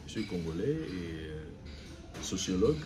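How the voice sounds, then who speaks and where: Deep-voiced hesitant male guest. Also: sing-song, moderate pace. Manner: hesitant, many fillers, mixes French and Lingala. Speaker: guest, sociologist. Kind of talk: casual interview.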